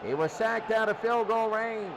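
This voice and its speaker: Excitable guy calling football play by play, pretty high voice, lots of noise on it. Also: moderate pace, a crowd in the background. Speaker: play-by-play announcer. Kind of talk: live American football commentary. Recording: clearly noisy recording.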